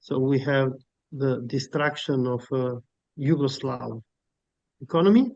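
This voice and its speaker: A man's voice: medium pitch, measured pace, gravelly, darkish tone, no room sound, lo-fi sound. A man from Albania giving an emphatic online lecture as guest speaker, with frequent filler sounds. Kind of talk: academic webinar lecture and Q&A.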